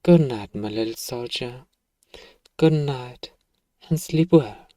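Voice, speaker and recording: A man playing a self-righteous evil emperor, softly and coaxingly telling a bedtime story to a child in an ASMR roleplay. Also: mid-range voice, slow, no room sound, studio quality, lightly acted. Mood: tender.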